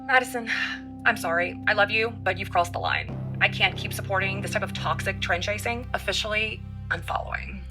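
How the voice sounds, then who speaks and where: A woman's voice: medium pitch, a little fast, close to an everyday voice. A woman playing an influencer disowning a friend, apologetic yet reproachful, in a scripted social media statement. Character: disapproving young female influencer, apologetic former friend. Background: music bed.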